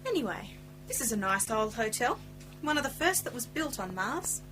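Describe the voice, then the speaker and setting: A woman's voice: a little high, big pitch swings, moderate pace, far from the mic, low-quality recording. A woman playing an eager, impetuous young travelling companion in an amateur radio play. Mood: breezy. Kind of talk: hobby radio play acting. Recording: amateur radio play under loud music.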